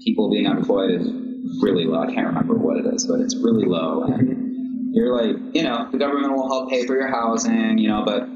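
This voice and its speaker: A man's voice: fairly low pitch, a little fast, darkish tone, faint music behind. Laid-back young man from Singapore. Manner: easygoing, laughs often, rambling with restarts. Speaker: guest composer. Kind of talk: casual podcast interview. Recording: echoey room, dull lo-fi sound, audible noise.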